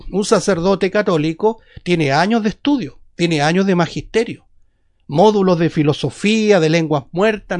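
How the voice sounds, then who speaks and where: Male evangelical preacher, Chilean accent, high voice, teaching scripture with emphasis and repetition. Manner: didactic, emphatic, repeats phrases and asks rhetorical questions. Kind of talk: sermon, Bible teaching.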